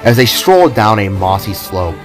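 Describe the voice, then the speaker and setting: A man reading scripted fantasy narration for an audio drama in a measured, descriptive storybook way. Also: fairly low pitch, very animated. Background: soft background music.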